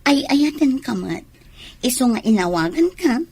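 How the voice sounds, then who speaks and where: A woman's voice: high-pitched, very animated, normal speed, darkish tone, husky, heavily acted. A woman with an Ilocano accent playing a scheming woman in a radio drama. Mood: pleading. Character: scheming woman plotting against a rival.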